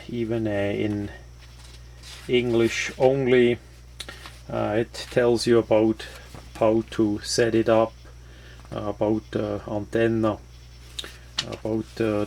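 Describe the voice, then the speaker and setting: A man with as his language talks through a product in a casual, unscripted way. His delivery is slow and halting, with very little rise and fall in pitch. A light layer of noise sits behind his voice. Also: darkish tone. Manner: halting, hesitant phrasing. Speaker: product reviewer.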